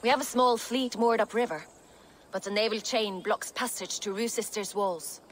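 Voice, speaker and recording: Lady doing a proud bitter viking captain in a game, middle pitch, straight talking, faint background noise. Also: normal speed, close to an everyday voice. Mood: resolute. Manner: forthright, earnest. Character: proud, embittered female Viking warrior captain. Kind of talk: video game cutscene acting.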